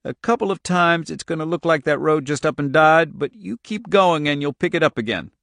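Man voicing a folksy, gravelly, stern older countryman. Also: fairly high pitch, lively intonation, fairly quick, fairly bright tone, no room sound, pitched above the performer's own voice. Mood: instructing. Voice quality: breathless. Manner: folksy, emphatic.

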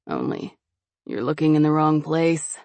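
A slick, scheming young nobleman with a lowered, gravelly voice, as a woman narrator puts him on. He talks smoothly and with menace, close and dry in a storybook reading. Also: sing-song, a little slow, no echo, exaggerated voice. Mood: coaxing. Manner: slick, coaxing, quietly threatening. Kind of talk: audiobook character voice.

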